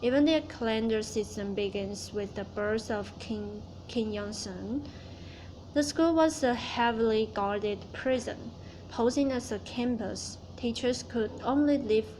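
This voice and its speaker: A woman's voice: medium pitch, a little mellow, slight background noise, rough recording. A woman student giving a prepared class speech, reciting it carefully and restarting words she stumbles on.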